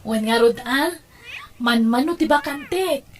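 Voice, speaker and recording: A young woman doctor in an audio drama, a devoted little sister. Her voice is high, and she speaks in a polite, earnest way. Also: unhurried, slight background noise. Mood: affectionate. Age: young adult. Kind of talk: Ilocano audio drama acting.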